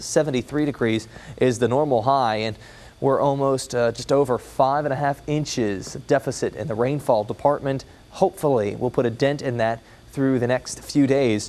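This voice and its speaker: Male American TV weather forecaster, mid-range pitch, conversational on-air report delivery. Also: a little mellow. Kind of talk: ad-libbed broadcast weather report.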